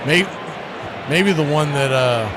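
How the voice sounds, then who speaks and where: Guy calling a ballgame on a really noisy stream, high voice, folksy, punches the big calls. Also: animated, slow pace. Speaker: baseball play-by-play announcer. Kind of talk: excitable live sports commentary with casual banter.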